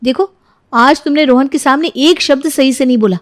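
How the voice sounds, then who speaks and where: A nagging young mother, acted out by a woman storyteller, discontented and envious. Her voice is fairly high, and she scolds and complains in a moral-story style. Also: normal speed, lightly acted. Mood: scolding.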